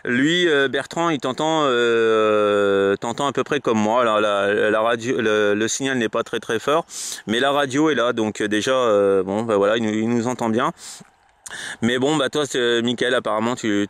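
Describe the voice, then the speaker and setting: Clear, halting Frenchman on radio relay. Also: mid-range voice, fairly bright tone. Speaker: amateur radio operator relaying between contacts. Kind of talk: casual radio chat. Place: outdoors.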